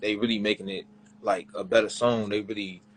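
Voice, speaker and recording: A young man, a rapper, with a deep voice, talking about recording in a loose, rambling way. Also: level tone, brisk, slight background noise, rough recording. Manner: rambling, repeats himself, loose slang. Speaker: rapper being interviewed. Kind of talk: casual interview chat.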